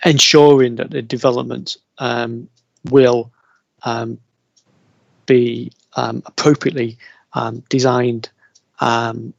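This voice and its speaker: A man's voice: mid-range voice, gravelly, dry recording, muffled recording. A man with a British accent chairing a formal planning hearing over a video call, hesitant, with many fillers and repeated words. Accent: British English.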